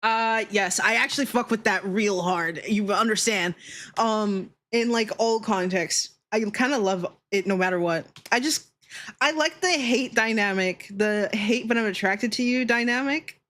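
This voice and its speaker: A woman's voice: mid-range voice, a little slow, fairly bright tone. A woman streamer chatting casually and giving blunt opinions, swearing freely and repeating herself for emphasis. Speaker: livestreamer, VTuber host. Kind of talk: casual livestream chat.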